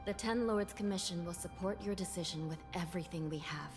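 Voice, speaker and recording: Woman as a low, solemn judge. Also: fairly quick, lightly acted. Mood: solemn, dutiful. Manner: formal, reserved. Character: solemn, dutiful female judge of an underworld commission. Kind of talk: video game voice acting. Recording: loud music behind the voice.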